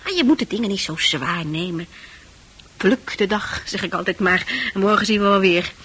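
A woman's voice: medium pitch, normal speed, a bit bright, slightly rough. An actress in a Dutch radio play, playing a worldly, coaxing woman of easy virtue with a chatty, knowing manner. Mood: consoling. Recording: radio play with music behind.

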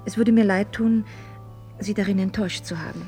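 An actress playing a candid, ironic young upper-class woman in a German radio play, speaking in articulate, formal phrases with gentle mockery. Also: mid-range voice, steady tone, a little fast, faint music behind, natural voice. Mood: cool, ironic. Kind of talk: mid-century German radio play acting.

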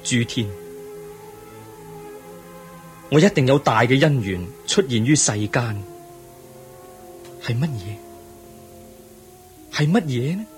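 An actor with a Hong Kong Cantonese accent playing a pensive, earnest young crown prince in a radio drama, speaking formally and reflectively. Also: male voice, mid-range voice, expressive, unhurried, long pauses, soft background music, lightly acted. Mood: brooding.

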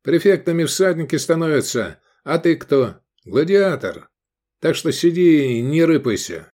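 A man voicing a sensible, bossy gladiator in an audiobook, brisk and quick to correct his friends. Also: mid-range voice, sing-song, a little mellow, pitched above the performer's own voice. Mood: indignant.

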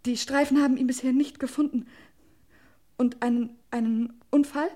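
An actress playing an anxious, pleading wife in an old German radio crime play, agitated and urgent, often breaking off mid-sentence. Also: female voice, sits high, fairly even intonation, moderate pace, warm tone. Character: anxious, pleading wife desperate to save her husband.

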